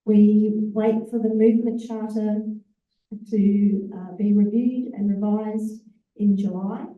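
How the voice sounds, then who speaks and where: A woman, an executive officer, giving a relaxed conference presentation with fillers and self-corrections. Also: medium pitch, takes it slowly, phone-quality sound. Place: large conference hall. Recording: echoey hall, voice far from the mic.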